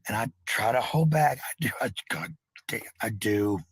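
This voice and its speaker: A man's voice: medium pitch, normal speed, husky, no room sound. A middle-aged man talking casually in a rambling monologue, blunt and defensive, with some swearing. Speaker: parent talking about family life.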